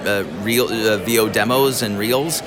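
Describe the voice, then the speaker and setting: A man's voice: lowish voice, a little slow. A man who coaches voice acting, giving casual explanatory answers in an interview. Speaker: voice actor and coach. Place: convention. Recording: music playing behind the voice.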